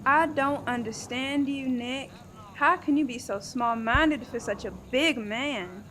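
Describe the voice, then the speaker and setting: A woman's voice: high-pitched, slow, a bit bright, a little hiss or hum. A woman playing a blunt, sarcastic old wife who bickers in a comic radio play. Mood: nagging.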